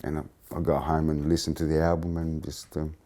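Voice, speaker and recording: A Greek-born man in his fifties with a Greek accent, reminiscing in a casual interview with halting restarts. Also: rumbling low, flat delivery, warm tone, slight background noise. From Greece. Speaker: interviewee, blues musician.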